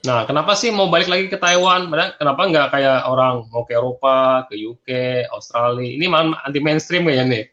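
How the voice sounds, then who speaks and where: A man's voice: fairly high pitch, brisk, few pauses, pushed and bright, dull audio. A man hosting a casual live-streamed interview, chatting in an upbeat way and prompting his guest. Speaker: host.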